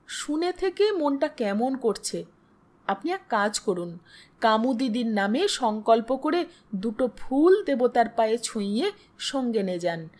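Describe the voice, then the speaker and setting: A woman storyteller voices a devout village widow from a literary tale. She advises earnestly and respectfully in a high, expressive voice. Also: a little slow. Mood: worried, earnest. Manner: earnest, deferential, colloquial.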